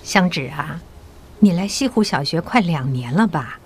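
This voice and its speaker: An actress playing a mature, patriotic school principal in an audio drama, encouraging and persuasive with measured authority. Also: female voice, low-pitched, animated, breathy edge. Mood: kindly, encouraging.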